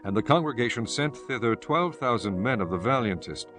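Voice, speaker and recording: A man narrating scripture for an audiobook in a formal, measured storytelling manner. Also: medium pitch, expressive, over music.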